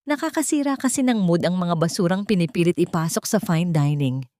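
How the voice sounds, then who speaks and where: A woman's voice: lowish voice, animated, fairly quick, mellow, dry recording, clean studio sound, close to an everyday voice. A woman voicing a glamorous, condescending mistress in an audio drama, sweetly taunting and mixing English into her speech. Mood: sweetly mocking. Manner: sugary, taunting, code-switching between English and Tagalog. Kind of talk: audio drama character acting.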